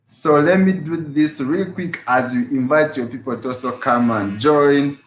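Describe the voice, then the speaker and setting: A man's voice: on the high side, a little slow, a little hiss or hum. A man hosting a casual fitness live stream, greeting viewers by name and repeating his phrases with energy. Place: his room at home after work. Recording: echoey room.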